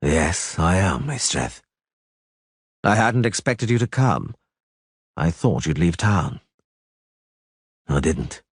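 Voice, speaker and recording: A man voicing a grim monster hunter who speaks curtly and slowly. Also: sing-song, husky, dry recording, studio quality, heavily acted. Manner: low, curt, measured. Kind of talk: audiobook character voice.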